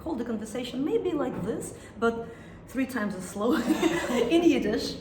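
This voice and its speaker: Russian-accented woman singer, reflective interview talk that pauses and restarts to find words; roomy sound. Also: sits high, animated. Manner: thoughtful, searching for words, frequent restarts. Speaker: singer and songwriter. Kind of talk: interview conversation. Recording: echoey room with some noise.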